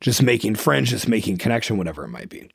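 A man with a deep voice talking emphatically as a podcast host in casual chat. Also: animated, quick pace, creaky, slightly rough, dry recording, clean studio sound. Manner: emphatic, coaching tone.

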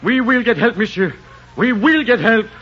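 A man's voice: very high voice, animated, normal speed. An actor playing a loyal, earnest French-Canadian backwoodsman in a 1940s radio drama. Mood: alarmed, urgent. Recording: dull noisy 1940s radio recording.

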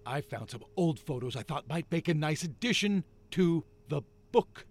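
Creaky, excitable reclusive crank. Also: male voice, a little high, normal speed. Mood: conspiratorial. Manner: excitable, eager, blunt. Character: prickly, eccentric reclusive male ex-astrophysicist conspiracy author. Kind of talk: audio fiction comedy acting.

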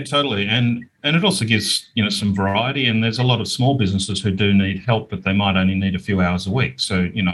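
A middle-aged Australian man explaining things in a measured, conversational way as an interview guest. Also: low-pitched, a bit bright, slight background noise, low-quality recording. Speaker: interview guest, business owner.

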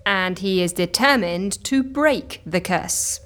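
An actress playing an exasperated, sceptical assistant in a comedy audio drama, dry and easily flustered. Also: female voice, mid-range voice, expressive, slow, fairly bright tone. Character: exasperated, sceptical woman assistant at eccentric agency.

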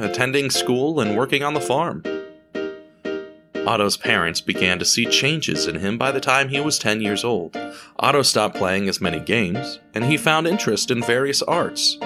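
A man narrating an audio drama in a deliberate, theatrical storytelling style, speaking straight to the listener. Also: on the low side.

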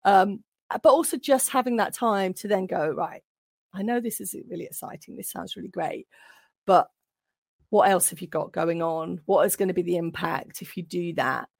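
A woman's voice: fairly low pitch, normal speed, vocal fry, dry recording, studio quality. A woman guest, an occupational psychologist, talking thoughtfully in an interview and often restarting as she builds her points. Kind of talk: reflective interview talk.

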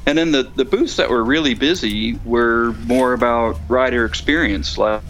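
A middle-aged man giving a casual, unscripted report as a podcast correspondent, measured and matter-of-fact. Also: medium pitch, a bit bright, poor audio. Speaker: call-in correspondent on a motorcycle podcast.

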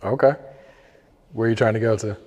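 A man's voice: on the low side, steady tone, fairly quick, darkish tone, husky. A man asking questions as an interviewer in a casual, conversational way. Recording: long room reverb.

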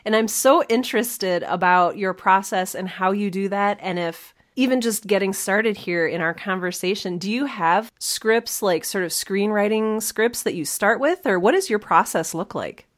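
A woman's voice: mid-range voice, normal speed, hardly any pauses. A woman hosting a podcast interview in an informal, enthusiastic, chatty way. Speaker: podcast host. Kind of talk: casual interview chat.

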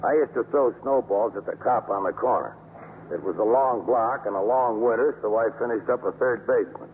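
An American actor playing a gruff, sardonic baseball manager in a 1950s radio drama. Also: male voice, medium pitch, brisk, lightly acted. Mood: dry, curt. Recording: muffled, noisy 1950s radio broadcast.

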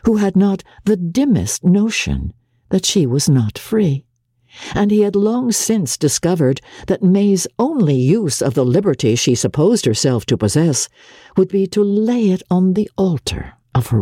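A woman reading a classic novel aloud as a dramatic audiobook narrator, in a measured, expressive way with deliberate phrasing. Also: lowish voice, slightly rough, no echo, studio quality.

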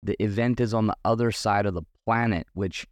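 A man hosting a solo podcast, earnest and motivational, his voice a bit hoarse from losing it, with a slight cough. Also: lowish voice, fairly even intonation, brisk, no room sound, clean studio sound. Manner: earnest, motivational, with many restarts. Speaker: podcast host.

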